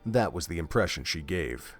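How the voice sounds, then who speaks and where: A man narrating a scripted story in a measured, steady way. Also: sits low, no echo. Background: faint music.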